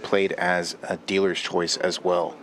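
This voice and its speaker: Man, co-commentator, low voice, flat intonation, matter-of-fact promo reading. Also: unhurried. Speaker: poker co-commentator.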